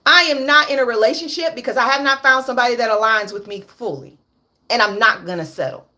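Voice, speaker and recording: A woman's voice: fairly high pitch, quick pace, bright, forceful tone. A woman in her late thirties speaking on a candid livestream, blunt and profane, repeating her points for emphasis. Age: 30s. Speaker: livestream host.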